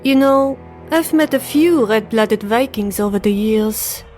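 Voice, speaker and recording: A woman's voice: fairly high pitch, unhurried, a little mellow, soft background music, natural voice. A woman voicing a cool, flirtatious aristocratic countess with a poised, sardonic manner in a fantasy drama. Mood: sly, teasing.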